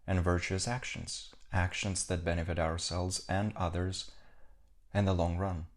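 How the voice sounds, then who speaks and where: A man leading a guided meditation as a teacher, speaking calmly in short, measured phrases with pauses. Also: low voice.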